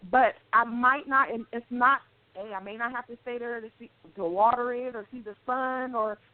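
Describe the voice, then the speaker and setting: A woman's voice: fairly high pitch, steady tone, moderate pace. A woman life coach speaking as a radio guest, earnest and hesitant, with many ums. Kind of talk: casual interview chat. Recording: dry, tinny phone-line sound.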